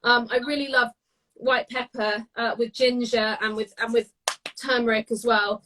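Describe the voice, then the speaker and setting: A British woman giving a casual live cooking demonstration, chatty and descriptive, often pausing mid-phrase as she explains. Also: on the high side, level tone, noisy background. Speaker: guest cook demonstrating a recipe.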